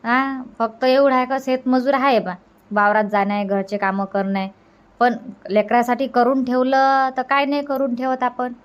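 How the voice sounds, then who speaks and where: A woman's voice: fairly high pitch, fairly even intonation, normal speed, clear, resonant tone, natural voice. A woman voicing a caring, practical village mother in a cartoon comedy, chatty and advising, with a rural Vidarbha Marathi accent. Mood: persuasive.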